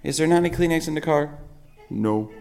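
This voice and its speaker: Pastor guy, hoarse from a sore throat, gravelly voice, rambling and joking about himself. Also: fairly high pitch, fairly quick, darkish tone. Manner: rambling, self-mocking storytelling. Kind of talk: sermon.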